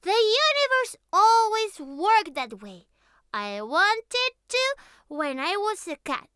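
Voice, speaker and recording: A young catgirl with a tiny, extremely high and bright voice. She is clingy, naive and pouty, stammering through an affectionate ASMR roleplay in swooping, childlike tones. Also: big pitch swings, slow pace, no room sound, studio quality, heavily acted. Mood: pleading, sulky.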